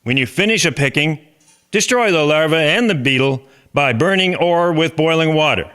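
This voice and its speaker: A man's voice: on the high side, expressive, moderate pace, a bit bright, clean tone. A man playing an earnest chairman of a farmers' agricultural society in a historical drama, speaking formally and instructively.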